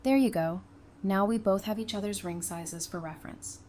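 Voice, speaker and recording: A woman's voice: mid-range voice, normal speed, darkish tone, a little hiss or hum, close to an everyday voice. A woman playing an affectionate, playful survivor in an audio drama, casual and teasing in her delivery.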